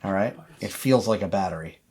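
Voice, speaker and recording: A man with a deep voice acts as game master for a tabletop horror game. He narrates the scene to his players in a conversational way and calls for dice rolls. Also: fairly quick, a little mellow, breathy edge.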